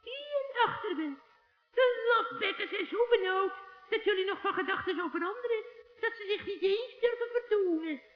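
A woman's voice: very high-pitched, lively intonation, moderate pace. A woman voicing a sly old witch in a children's radio play, sugary and wheedling. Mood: indignant. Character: sly old witch feigning sweet gratitude. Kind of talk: 1960s Dutch children's radio play acting. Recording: dull lo-fi, echoey with long reverb.

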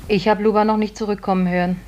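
A woman's voice: mid-range voice, little pitch movement, fast, darkish tone, lightly acted. An actress playing a compassionate, bitterly dry servant woman who reproaches, in a 1950s German radio play. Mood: reproachful. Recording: faintly noisy 1950s radio recording.

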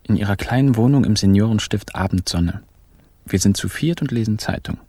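An actor playing a laid-back nineteen-year-old care-home helper who narrates a crime radio play in an offhand, unsure, wry way. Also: male voice, lowish voice, brisk, dark tone, no room sound, natural voice. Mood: wry, matter-of-fact. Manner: casual, offhand, hesitant. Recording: music behind the voice.

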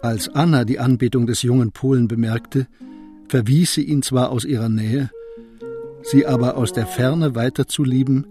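A male narrator tells a literary story for a radio play. His voice is mellow and medium in pitch, with faint music behind the scripted narration.

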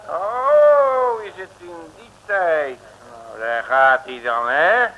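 An actor playing a brash, jovial Dutch detective with colloquial, wisecracking bluster in an old radio comedy serial. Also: male voice, high-pitched, lively intonation, slow pace, dull audio, heavily acted. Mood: cocky. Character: brash, jovial, scrappy male detective, street-smart joker. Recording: noisy old radio recording.

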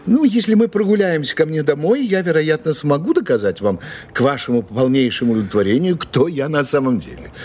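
An actor playing a suave, composed gentleman writer in a radio drama, speaking with courteous irony. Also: a little high, expressive. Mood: assured, ironic. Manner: courteous, ironic, unhurried. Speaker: actor in an audio play. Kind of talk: Russian radio drama acting.

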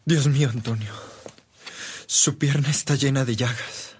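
A man's voice: mid-range voice, measured pace, slight background noise, lightly acted. A man acting a devoted, anguished attendant in a radio drama, pleading and emotional. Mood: worried, careful.

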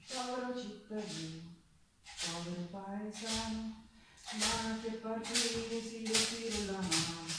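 A woman's voice: medium pitch, fairly bright tone, a little hiss or hum. An actress playing a poor, rebellious Sicilian woman in a theatrical monologue, earthy and defiant, with a Sicilian accent. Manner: earthy, defiant, dramatic storytelling. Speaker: stage actor and singer. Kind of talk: theatrical monologue with folk singing. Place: theatre stage. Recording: echoey theatre, distant mic, guitar music. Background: acoustic guitar.

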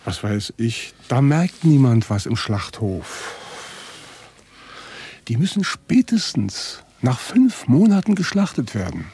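A very husky, breathy male farmer in a naturalistic radio drama. He has a dark, mellow tone and talks bluntly and bitterly, with a sardonic, evasive edge. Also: medium pitch, sing-song, takes it slowly, exaggerated voice. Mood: evasive, grim. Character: bitter, evasive male contract calf fattener who knows the trade. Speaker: contract livestock farmer.

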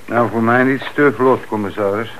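A man's voice: mid-range voice, steady tone, moderate pace, background noise, lightly acted. An actor playing a thoughtful, doubtful male police detective musing to his chief in a Dutch radio play. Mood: dry. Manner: musing, sceptical.

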